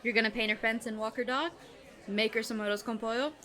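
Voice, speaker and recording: A woman as sharp, sarcastic teenage girl. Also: fairly high pitch, fairly even intonation, fast talker, long reverb tail. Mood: sarcastic, sceptical. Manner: dry, sardonic, nagging. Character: sarcastic, exasperated, sceptical teenage girl best friend. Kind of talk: audio drama dialogue.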